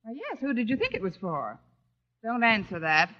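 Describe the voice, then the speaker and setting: An actress playing a wry, quick-witted schoolteacher, wisecracking drily in an old-time radio comedy. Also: female voice, on the high side, huge pitch swings. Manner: dry, wisecracking. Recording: muffled vintage radio broadcast.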